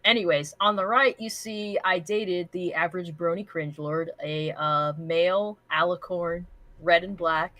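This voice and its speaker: A woman co-hosting a livestream with casual banter and commentary, animated, blunt and sweary. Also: lowish voice, unhurried, dry recording. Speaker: co-host of a livestream.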